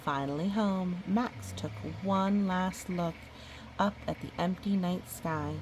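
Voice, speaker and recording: A woman reading a children's picture book aloud, gently, breaking off for musing, chatty asides to a young listener. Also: on the low side, slow pace, a little hiss or hum, poor audio. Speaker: storybook reader.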